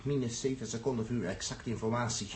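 A man's voice: mid-range voice, fast, darkish tone, natural voice. An actor playing a gloomy, sceptical male crewman, muttering sarcastically in a sixties science fiction radio drama. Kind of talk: 1960s radio drama acting. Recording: old radio recording, faint noise.

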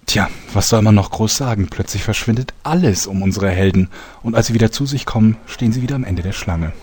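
A man's voice: on the low side, brisk, a little mellow, slightly rough. A man playing the wry narrator of a comic fantasy radio play, reading scripted narration. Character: wry male storyteller of a fantasy parody.

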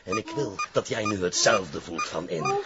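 Casting: Flemish criminal mastermind, taunting and vengeful, pitch leaping widely; muffled, noisy TV crime drama sound. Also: male voice, wildly swooping pitch, moderate pace. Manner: menacing, taunting, commanding. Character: vengeful, power-hungry criminal mastermind holding a hostage.

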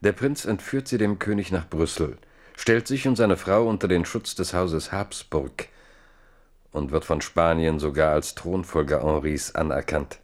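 A very deep-voiced, grave chronicler. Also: male voice, a little slow. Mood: grave, reflective. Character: grave male chronicler of a king's fate. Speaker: narrator. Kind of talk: dramatic scripted radio play narration.

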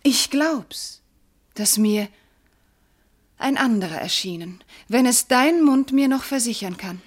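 An actress playing a devoted, anguished noble wife in a classical radio drama, declaiming formal verse with fervour. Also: female voice, on the high side, animated, slow. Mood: pleading. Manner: declamatory, fervent, formal diction. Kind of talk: classical German stage verse in a radio play.

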